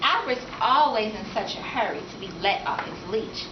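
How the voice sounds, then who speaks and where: A woman voicing a cheerful, outspoken, warm-hearted young girl, chatty and childlike, in a storybook read-aloud. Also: mid-range voice, expressive, moderate pace, natural voice. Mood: chatty, frank. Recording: echoey room from far, noisy, dull.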